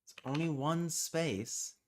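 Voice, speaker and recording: A man's voice: fairly high pitch, slow pace, slight background noise. A man hosting a casual livestream, muttering and thinking aloud as he works, and easily exasperated. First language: British Sign Language. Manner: easily exasperated, swears under breath. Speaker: livestream host.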